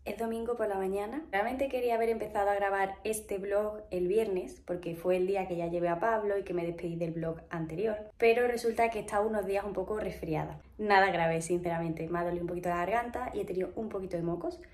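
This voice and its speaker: A woman's voice: mid-range voice, quick pace, few pauses, darkish tone, no echo, soft background music. A woman, chatting casually to camera in a vlog, her voice a little stuffy a cold. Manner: chatty and upbeat, many fillers. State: slightly congested from a cold. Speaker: vlogger. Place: home indoors, then city street outdoors. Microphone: phone or camera held at arm's length.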